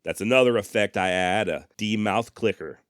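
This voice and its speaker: Male podcast host, low smooth voice, casual direct address, plainspoken and emphatic, dry close-mic recording. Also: moderate pace, no echo. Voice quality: deep voice. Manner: plainspoken, mildly profane, emphatic. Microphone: close dynamic studio microphone, processed.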